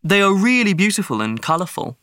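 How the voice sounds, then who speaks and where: A woman's voice: medium pitch, sing-song, brisk, fairly bright tone, no echo, clean studio sound. A woman in a paired speaking-task discussion, agreeable and offering suggestions.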